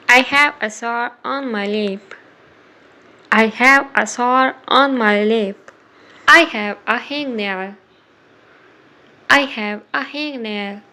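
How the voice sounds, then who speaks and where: A woman reading short scripted example sentences as a language drill, in a deliberate, instructional way with evenly spaced words. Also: fairly high pitch, pushed and bright. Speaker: English vocabulary teacher.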